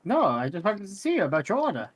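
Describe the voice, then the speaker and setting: Man as a deadpan, curt robot shopkeeper. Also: sits high, quick pace, exaggerated voice. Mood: deadpan, dry. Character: gruff, blunt robot shopkeeper, dismissive of organics.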